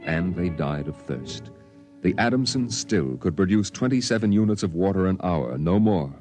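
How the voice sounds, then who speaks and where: A man playing a somber storyteller recounting his boyhood on Mars, in measured, dramatic first-person narration for a vintage radio drama. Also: deep voice, expressive, darkish tone. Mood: weary, grave. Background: dramatic music.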